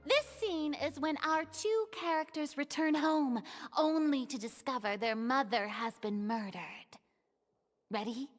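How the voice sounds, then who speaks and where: A woman's voice: high-pitched, unhurried. A woman voicing a theatrical, commanding young female film director in a video game. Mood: composed, instructive. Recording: long reverb, faint music behind.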